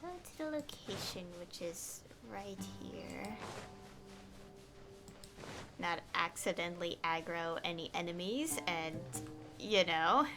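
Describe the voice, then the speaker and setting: A woman's voice: on the low side, animated, takes it slowly, long pauses. A woman chatting casually and hesitantly, half to herself, as she live streams a game. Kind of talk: casual live stream chat over gameplay. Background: game music.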